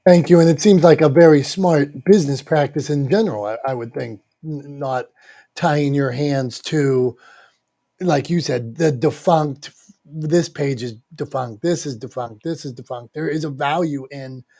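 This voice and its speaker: A man's voice: on the high side, a little slow, warm tone. A man co-hosting a casual panel, calling on speakers by name and passing them the floor. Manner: friendly moderator who calls on speakers and hands over the floor. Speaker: co-host and moderator. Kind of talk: casual panel discussion in a social audio room.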